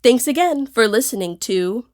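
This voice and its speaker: Slightly high-voiced woman podcast announcer. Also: animated, normal speed, a little mellow. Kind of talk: scripted promotional outro.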